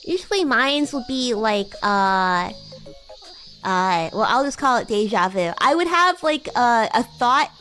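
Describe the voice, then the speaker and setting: A woman's voice: fairly high pitch, animated, a little slow, fairly bright tone, faint music behind. A woman VTuber chatting casually on a livestream in a girlish, rambling, conversational way. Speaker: VTuber streamer.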